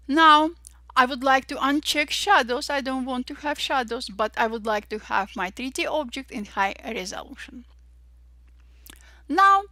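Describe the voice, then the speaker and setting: A woman with an Armenian-Russian accent guides each step in a slow, unhurried tutorial. Her voice is bright and a little high, and she sounds cheerful. The recording is close and dry, with no room sound. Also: no room sound. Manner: cheerful, says "voila" and "perfect" often, slow deliberate steps. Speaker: Photoshop tutorial instructor. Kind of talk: step-by-step software tutorial narration.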